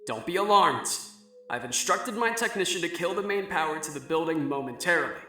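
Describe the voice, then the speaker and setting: A charming, self-assured male inventor acted by a man holds forth in an audio drama. His voice is bright and forceful, ringing out in an echoing room. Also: fairly high pitch, expressive, fairly quick. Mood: confident, showy. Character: confident, charming male inventor presenting to the press. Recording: roomy, echoing hall sound.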